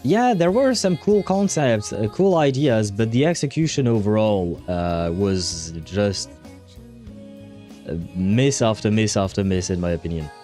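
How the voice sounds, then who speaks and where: A man with a fairly low, very mellow voice hosts a casual livestream. He thinks aloud in a halting, meandering way, circling back over his words. Faint music plays softly behind him. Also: animated. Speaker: livestream host.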